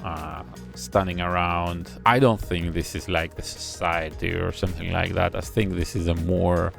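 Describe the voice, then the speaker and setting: A man giving casual reaction commentary on a music video, analytical and admiring, thinking aloud with pauses. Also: deep voice, steady tone, creaky, a bit bright. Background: faint music video playing.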